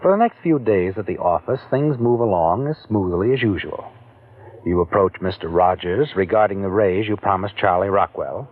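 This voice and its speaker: A man's voice: low-pitched, normal speed, phone-quality sound. A male narrator speaking to the listener in second person, with a taunting, insinuating manner, in an old radio recording.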